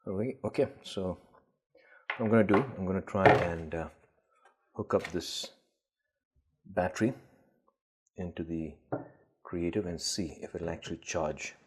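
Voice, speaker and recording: A man with a husky voice giving casual, tentative unboxing commentary, thinking aloud as host. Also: low voice, measured pace, long pauses, noisy background. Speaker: gadget collector and video host.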